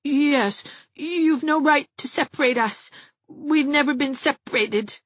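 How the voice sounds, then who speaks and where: A woman's voice: sits high, a little slow, dry recording, pitched above the performer's own voice. A woman reading an audiobook, voicing a sulky, defiant little boy who snarls and mutters. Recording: dry tinny phone-like audio.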